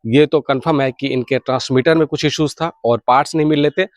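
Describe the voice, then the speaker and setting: A man's voice: medium pitch, steady tone, quick pace, no echo. A man with an Indian accent and a mid-range voice speaks as the host of a hobby channel. He delivers an informal update, explaining things and often restating them in other words. The sound is dry, with hardly any room in it. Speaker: video channel host sharing radio hobby news. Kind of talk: informal news update to viewers.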